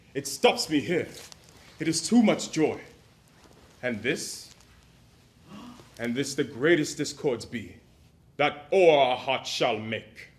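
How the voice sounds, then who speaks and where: A man's voice: a little high, a bit bright. An actor playing a passionate stage actor who coaxes his scene partner and declaims verse with swelling volume. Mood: declaiming. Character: passionate male stage actor rehearsing a romantic lead. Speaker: actor in a dramatized rehearsal. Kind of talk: period drama acting, reciting verse.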